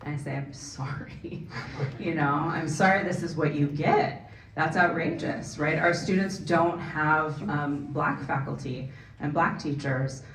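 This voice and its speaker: A woman with a Californian accent answering at a panel forum, earnest, tagging points with "right?" and quick asides. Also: low voice, fairly even intonation, a bit bright, a little hiss or hum. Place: forum event hall. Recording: echoey hall, mic at a distance.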